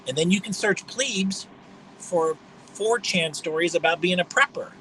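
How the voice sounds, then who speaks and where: Fifty-something guy w a high bright voice, livestreaming, blunt and mocking, rambles on, faint hum behind. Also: measured pace, rough recording. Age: 50s. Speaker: livestream host.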